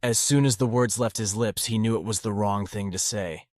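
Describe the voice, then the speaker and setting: Low-pitched male audiobook narrator. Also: fairly even intonation, moderate pace, a little mellow, no echo, clean studio sound. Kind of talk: scripted narration.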